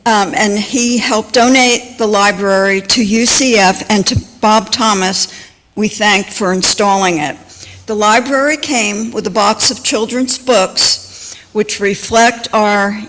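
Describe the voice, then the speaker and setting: Slow-paced woman giving announcements in a hall. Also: medium pitch, fairly bright tone. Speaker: congregation member giving announcements. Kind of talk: informal community announcements. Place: church sanctuary. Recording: reverberant hall.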